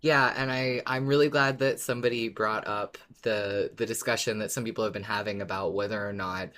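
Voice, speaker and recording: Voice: mid-range voice, fairly even intonation, fairly quick, a bit bright. A podcast co-host who reads listener submissions and talks them over casually, often repeating words while thinking.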